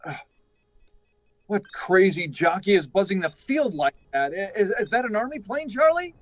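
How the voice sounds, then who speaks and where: An actor playing an aging, anxious, guilt-ridden test-flight chief in a radio drama, gruff, halting and urgent, often repeating himself. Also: male voice, high-pitched, big pitch swings, no echo, soft background music. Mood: startled, urgent. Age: older. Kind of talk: live radio drama acting.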